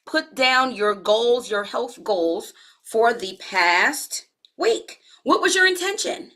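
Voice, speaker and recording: Woman in her 40s, slightly high voice, live health coach, loose and talkative with her viewers. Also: takes it slowly. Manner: chatty, talks straight to viewers in chat; relaxed, slangy delivery. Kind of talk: live-streamed coaching talk.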